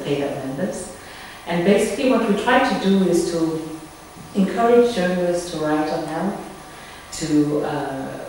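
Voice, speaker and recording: A woman with a Zambian accent, a media consultant and journalist, speaking in a measured, earnest way in an interview. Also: low-pitched, darkish tone. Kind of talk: recorded interview statement. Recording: echoey room, distant mic, faint noise.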